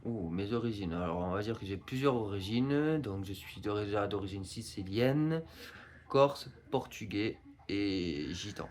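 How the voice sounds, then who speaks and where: A man's voice: on the low side, lively intonation, measured pace, darkish tone. A man in his thirties chatting casually beside his partner, hesitant and full of fillers. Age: 30s. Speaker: vlogger's partner. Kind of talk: casual chat.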